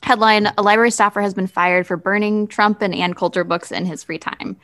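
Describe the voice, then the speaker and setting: A woman with an average-pitched voice speaks as a podcast co-host. Her delivery is conversational, as in a casual panel chat where she puts points to the others. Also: fairly even intonation, fairly quick, fairly bright tone. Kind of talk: casual panel chat, introducing a news item.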